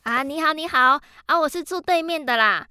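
A chatty nosy auntie. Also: female voice, high voice, sharp tone, a little hiss or hum. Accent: Taiwanese Mandarin. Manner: chatty, drawn-out fillers, pushy friendliness. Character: nosy chatty middle-aged neighbor woman, committee busybody. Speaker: neighborhood committee member. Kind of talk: radio drama acting.

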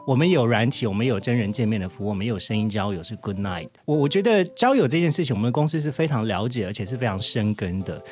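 A man's voice: fairly low pitch, lively intonation, quick pace, few pauses, darkish tone, faint music behind. A Taiwanese Mandarin-speaking man as a podcast guest, chatting bluntly and dramatically and mixing in English words. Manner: blunt, dramatic, gives vivid examples. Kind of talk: animated interview chat.

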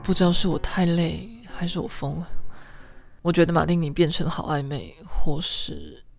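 A woman playing an earnest, tender, easily flustered female bar manager in a radio drama, speaking hesitantly with breathy murmurs. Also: low-pitched, steady tone, moderate pace, faint music behind. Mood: puzzled.

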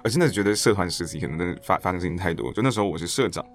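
A man's voice: low voice, racing, faint music behind. A man with a Taiwanese Mandarin accent telling anecdotes as a guest in a casual podcast interview, acting out bits of dialogue as he goes. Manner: animated anecdotes, acting out quoted lines. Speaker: interview guest, stage actor and director.

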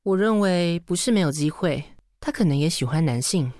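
A woman's voice: on the low side, animated, normal speed, darkish tone, dry recording, lightly acted. A woman playing a sardonic assistant, dry studio sound. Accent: Taiwanese Mandarin. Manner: sarcastic, teasing, weary. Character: sardonic, long-suffering female assistant to a fashion director. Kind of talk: radio drama acting with inner monologue.

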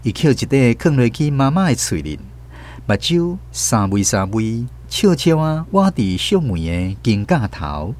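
A man narrates a radio story in a Taiwanese accent. His mid-pitched voice moves up and down expressively, with soft music underneath as he hosts. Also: sing-song, a little slow. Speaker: radio drama narrator and host.

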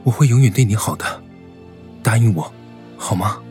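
An actor playing a gentle, devoted and protective young man in a romantic radio drama, speaking earnestly. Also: fairly low pitch, normal speed, soft background music, close to an everyday voice. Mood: tender, earnest. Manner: tender, earnest, caring.

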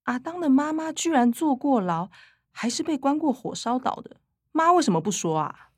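A young Taiwanese activist in a radio play, reluctant and indignant. Her high voice swings widely as she protests, with a whiny edge. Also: sing-song, moderate pace, darkish tone, slightly rough, dry recording, clean studio sound, heavily acted. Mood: incredulous. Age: young adult. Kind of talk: radio drama acting.

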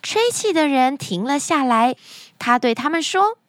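A woman narrating children's stories as a podcast host, expressive and speaking directly to young listeners. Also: sits high, a little slow, no echo, studio quality. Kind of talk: animated storytelling narration for children.

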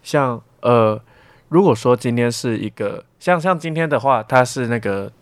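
A man's voice: medium pitch, animated, normal speed, dry recording. A young man with a Taiwanese Mandarin accent giving casual, reflective interview answers as a student, talkative and explaining with examples. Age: young adult.

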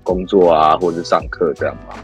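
A man's voice: sits low, level tone, a little fast, soft background music. A man hosting an interview, asking encouraging, leading questions. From Taiwan. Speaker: host. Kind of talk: casual interview chat.

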